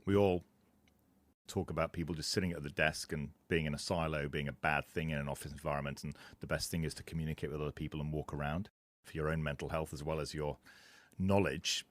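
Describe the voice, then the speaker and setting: A man's voice: extremely low pitch, fairly even intonation, fast, dry recording. A man co-hosting a casual fitness podcast, explaining points at length and laughing at his own asides. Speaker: podcast co-host.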